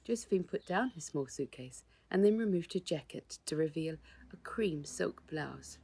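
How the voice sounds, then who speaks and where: A woman narrates a children's story in a low voice. It has a husky grain and a creaky edge as she reads the scripted prose. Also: lively intonation, normal speed, dark tone. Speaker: author reading own children's book.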